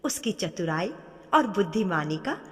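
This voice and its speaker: A woman reads a scripted moral tale as an expressive storyteller. She speaks slowly with wide melodic movement. Long reverb and faint music accompany her voice. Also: fairly low pitch.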